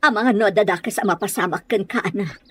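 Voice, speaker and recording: A woman's voice: medium pitch, quick pace, a bit bright, husky. An actress playing an anxious, protective village mother in an audio drama, speaking with worried urgency. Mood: worried, urgent.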